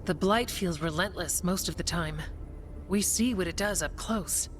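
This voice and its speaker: Woman acting as steady no-nonsense warden lady in a fantasy game, lower voice, music playing behind. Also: a little slow, close to an everyday voice. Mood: reassuring. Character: steadfast, practical female warden, dutiful and reassuring. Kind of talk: video game dialogue acting.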